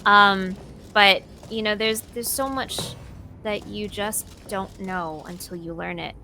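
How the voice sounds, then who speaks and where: A woman talks in a relaxed, conversational interview style at a medium pitch. She pauses thoughtfully as she explains, with slight noise in the recording. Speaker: voice actor being interviewed.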